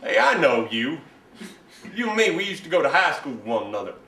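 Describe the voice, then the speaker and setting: A man performing a timid, whiny, childishly earnest Southern mama's boy in a halting, naive way in a comic stage piece. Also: on the low side, bright, forceful tone, pitched below the performer's own voice. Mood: shy, eager. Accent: American South. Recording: roomy, distant mic with hiss.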